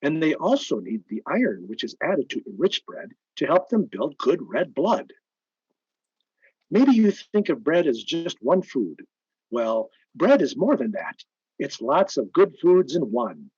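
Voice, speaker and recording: Husky, lively male radio announcer. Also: fairly high pitch, a little slow, no room sound. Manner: emphatic, polished, persuasive. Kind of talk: old-time radio announcing and advertising. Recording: dull, band-limited old-time radio sound.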